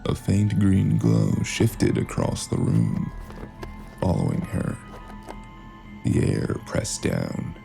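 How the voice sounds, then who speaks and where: A man's voice: sits low, huge pitch swings, takes it slowly, gravelly, long pauses, over music, exaggerated voice. A man playing a cold, calculating killer who narrates a cyberpunk noir audio drama in ominous, clipped phrases. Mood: ominous, suspenseful.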